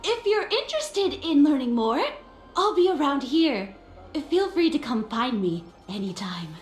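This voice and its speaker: Effusive anime-game greeter girl, high and slow, theatrical, cheery, roomy sound. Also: big pitch swings. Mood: friendly invitation. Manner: effusive, unhurried. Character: cheery, theatrical, welcoming young female happiness promoter. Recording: echoey game audio with light music.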